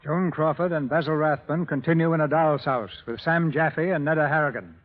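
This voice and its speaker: A man's voice: on the high side, a little fast. A man narrating a drama as its producer in scripted 1930s radio style. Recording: muffled vintage radio recording with music behind.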